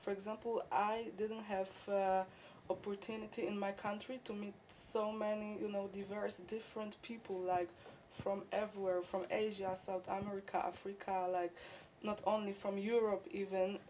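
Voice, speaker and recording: A woman's voice: medium pitch, level tone, normal speed, few pauses, a little mellow, slight background noise, poor audio. A young woman from Poland with a Polish accent, answering interview questions as an international student, filling her talk with "like" and "you know.". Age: young adult. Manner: chatty, many "like" and "you know" fillers.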